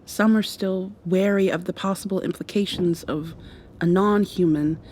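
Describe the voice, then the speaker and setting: A woman playing a gentle, affectionate young vampire in an intimate ASMR roleplay, speaking softly with thoughtful pauses. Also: on the low side, fairly even intonation, a little hiss or hum, natural voice. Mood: tender, hesitant. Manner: soft, tender, unhurried, thoughtful pauses. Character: gentle, affectionate young female vampire confiding in her girlfriend.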